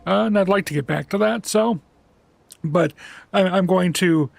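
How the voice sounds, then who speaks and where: A man chatting casually on a home livestream through a headset mic, rambling with lots of restarts and fillers in a relaxed, soft-spoken way. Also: sits high, moderate pace, vocal fry, dry recording. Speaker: streamer.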